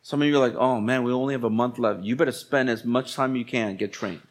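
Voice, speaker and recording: A man's voice: medium pitch, fast. A man preaching as a pastor in colloquial, exhorting language, repeating phrases for emphasis. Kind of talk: sermon.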